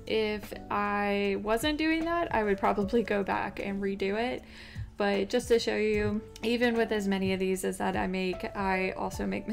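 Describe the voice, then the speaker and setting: Young woman, gravelly. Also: medium pitch. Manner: chatty, upbeat, laughs often. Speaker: crochet tutorial host. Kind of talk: casual step-by-step craft tutorial. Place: home craft room, indoors.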